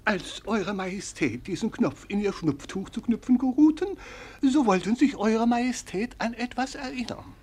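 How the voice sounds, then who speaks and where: An actor playing a deferential royal servant in a radio play, formal, stilted and obsequious. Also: male voice, high voice, animated, normal speed, a little mellow, slightly rough. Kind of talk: theatrical German radio play acting.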